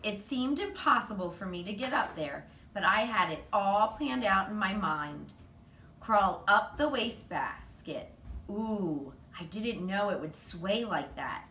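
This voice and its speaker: A woman reading a children's story aloud in an animated, expressive storytelling manner. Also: medium pitch, a little slow. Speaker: reader, teacher. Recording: roomy, distant mic, faint noise.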